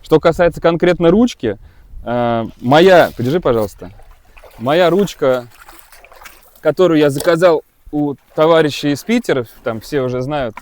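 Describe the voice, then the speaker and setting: A man's voice: mid-range voice, darkish tone. A man who runs a kite school, giving a casual, rambling gear review with many hesitations and restarts.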